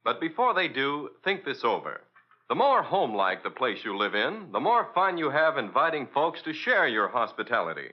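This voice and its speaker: A man's voice: mid-range voice, sing-song, normal speed, lo-fi sound. A man with an American accent announcing in a polished, emphatic 1940s radio sponsor style, on an old broadcast recording.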